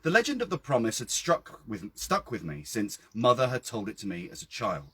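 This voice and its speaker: Husky, expressive British man reading a story. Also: medium pitch, normal speed. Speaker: author reading own story. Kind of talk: literary story reading, first-person narration. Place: outdoors by a well.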